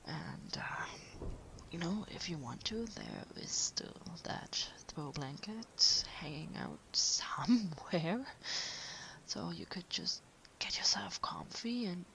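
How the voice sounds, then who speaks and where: Caring late-night writer partner played by a woman, soft and breathy with some creak, slow hesitant murmur, sleep-aid audio roleplay. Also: lowish voice, big pitch swings, exaggerated voice, rough recording. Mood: gentle, reassuring. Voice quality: breathy, half-whispered. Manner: gentle, hesitant, unhurried murmuring.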